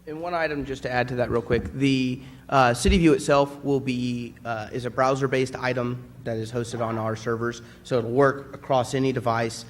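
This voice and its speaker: Male city staffer, monotone briefing, faint room noise. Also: medium pitch, normal speed, hardly any pauses. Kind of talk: briefing council. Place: city council chamber.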